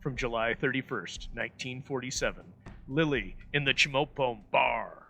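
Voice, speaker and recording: A man's voice: medium pitch, moderate pace, gravelly, pushed and bright. A man hosting an old-time radio show, reading a scripted introduction in florid storyteller phrasing. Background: music.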